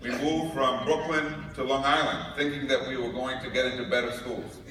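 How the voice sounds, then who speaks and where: A man's voice: medium pitch, little pitch movement, moderate pace, a bit bright. A man from New York giving a conference keynote speech, telling stories with humor. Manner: storytelling with humor. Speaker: keynote speaker. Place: large conference hall. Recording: echoey hall recorded from far off, noisy.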